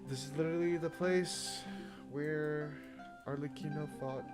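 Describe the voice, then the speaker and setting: Woman muttering over loud game music. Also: low voice, steady tone, unhurried. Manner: loose muttering to self while playing. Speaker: game streamer. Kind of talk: casual gameplay commentary.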